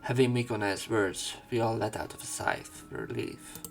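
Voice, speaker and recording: A man reading visual novel narration and dialogue aloud as a let's player, casual and a little halting. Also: lowish voice, fairly even intonation, vocal fry, soft background music.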